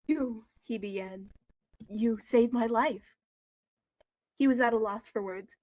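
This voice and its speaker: A woman's voice: a little high, takes it slowly, darkish tone, no echo, studio quality. A woman reading a story aloud mock-seriously, amused and dropping wry, casual comments. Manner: amused, giggly, wryly commenting. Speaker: co-reader in a fanfiction reading video.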